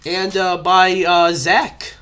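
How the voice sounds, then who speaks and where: A man's voice: high voice, flat delivery, slow, bright, forceful tone, slight background noise. A young man hosting a casual podcast chat, rambling with lots of fillers and nursing a cough. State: has a cough. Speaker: podcast host.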